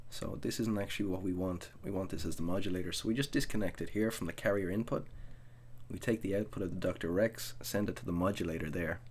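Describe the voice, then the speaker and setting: Guy doing a software tutorial, low voice, explains step by step, bit of background noise. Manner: methodical, explanatory. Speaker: tutorial instructor.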